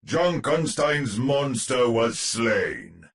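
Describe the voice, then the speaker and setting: A man's voice: mid-range voice, sing-song, extremely slow, exaggerated voice. A man playing a grandiose storyteller who narrates a spooky gothic tale for a game in an ominous, pompous, theatrical way. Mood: dramatic, urgent.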